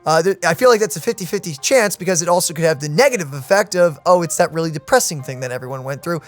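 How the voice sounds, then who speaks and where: A woman's voice: lowish voice, animated, fast talker, faint music behind. Woman chatting in casual game reaction. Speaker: game streamer.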